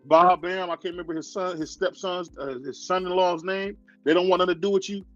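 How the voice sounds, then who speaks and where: High-voiced man hosting a heated debate. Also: fairly even intonation, brisk, fairly bright tone, no room sound, muffled recording, soft background music. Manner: keeps cutting in with repeated "hold on". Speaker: show host. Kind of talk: heated live-stream debate.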